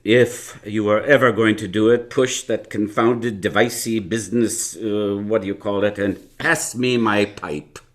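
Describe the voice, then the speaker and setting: A man's voice: lowish voice, steady tone, a little slow, few pauses, lightly acted. An actor playing a wry, reflective elderly uncle recounting his youth in a radio drama, in wordy old-fashioned phrases. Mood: amused, grumbling. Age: older. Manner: hesitant, chuckling, old-fashioned wordy storytelling. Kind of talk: live radio drama acting.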